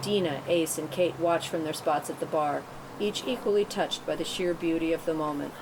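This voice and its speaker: A woman with a slightly low voice narrates stage directions at a script table read. She reads them as scripted narration, with clear background noise throughout the recording. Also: moderate pace. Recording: noisy room, rumbling ambience.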